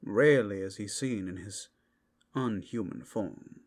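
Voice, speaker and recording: A man voicing a flirtatious, sly male werewolf in an ASMR roleplay, with teasing, drawn-out asides. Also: on the low side, darkish tone. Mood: casual, cautioning.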